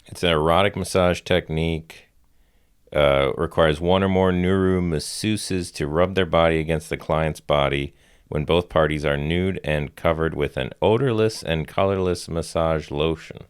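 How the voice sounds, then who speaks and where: A man's voice: extremely low pitch, normal speed, smooth, dry recording, clean studio sound. A man working as a podcast producer, reading information aloud and giving brief replies.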